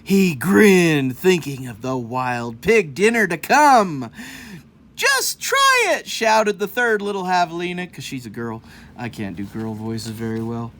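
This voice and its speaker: A woman's voice: sits low, lively intonation, measured pace. A woman reading a children's book aloud expressively, breaking off for informal, explanatory asides to young listeners. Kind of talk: expressive storytelling with chatty asides.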